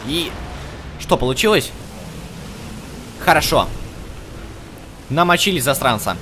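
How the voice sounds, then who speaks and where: A man doing casual, slangy let's-play commentary, excitedly urging the game's characters on as he plays. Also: a little high, slow, slight background noise. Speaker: gaming channel host. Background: game music and effects.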